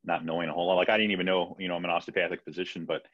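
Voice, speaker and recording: Man in his 30s, physician guest, deep husky voice, halting speech full of fillers, dull video call audio. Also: little pitch movement, fairly bright tone, no room sound. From Peru, raised in Florida. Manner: hesitant, many fillers and restarts. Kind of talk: casual interview answers. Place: home room, video call.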